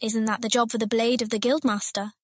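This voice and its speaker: An actress playing a bold, ambitious thief captain who speaks assertively in a fantasy audiobook. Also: female voice, on the high side, flat delivery, fairly quick, dry recording, studio quality, faint music behind, lightly acted. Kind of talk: dramatised fantasy audiobook acting.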